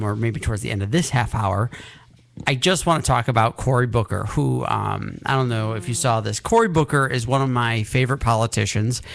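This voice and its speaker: A man's voice: medium pitch, creaky. A man hosting a casual talk radio show, chatty and rambling, poking fun at himself. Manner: chatty, rambling, self-deprecating. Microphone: studio mic with headphones.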